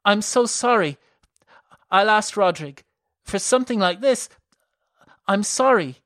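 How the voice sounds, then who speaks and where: A woman voicing a gentle, earnest older sister who consoles her sibling. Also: medium pitch, lively intonation, measured pace, no room sound, studio quality, pitched above the performer's own voice. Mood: sorry, consoling.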